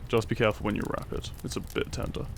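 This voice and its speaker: A man playing a gentle, devoted Victorian doctor in an intimate romantic audio drama, earnest and soft-spoken. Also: fast, slight background noise, close to an everyday voice. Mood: reassuring, wincing. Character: tender, devoted Victorian doctor, modest and smitten.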